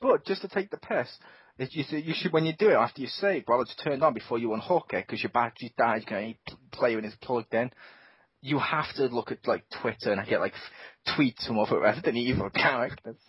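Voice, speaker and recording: A man hosting a casual gaming podcast. He talks in an animated way, swears a lot and acts out his own reactions. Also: medium pitch, a little fast, slightly rough, dry recording. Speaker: podcast host. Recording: dull lo-fi podcast audio.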